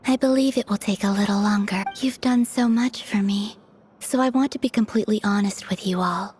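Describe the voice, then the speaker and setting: A woman voicing a gentle, frail moon maiden in a video game, honest and quietly resolute, speaking in a soft, breathy, measured way. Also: mid-range voice, slight background noise, lightly acted. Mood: earnest, gentle. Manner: measured, earnest delivery.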